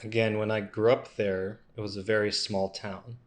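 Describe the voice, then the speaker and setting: A man with a lowish voice speaks for a signing guest in an interview. His delivery is measured and unhurried, and he takes the answers in short steady phrases. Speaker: interpreter voicing a signing artist.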